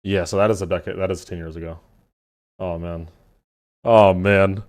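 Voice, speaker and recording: A man in his twenties chatting casually with his live-stream chat, laughing and swearing in quick asides. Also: deep voice, steady tone. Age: 20s.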